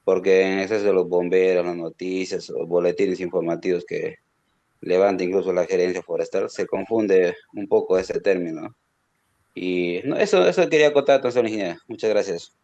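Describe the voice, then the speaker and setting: A man's voice: deep voice, normal speed, darkish tone. A man with a Peruvian accent politely making a comment as a student, on dull, lo-fi audio. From Puerto Maldonado, Peru. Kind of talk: polite spoken comment in class.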